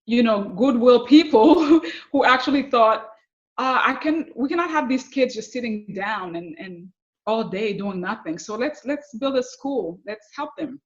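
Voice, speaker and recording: Higher-voiced Haitian-accented woman, slightly muffled. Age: middle-aged. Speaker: podcast guest, success coach. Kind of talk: personal storytelling in an interview. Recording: slightly dull, lo-fi connection.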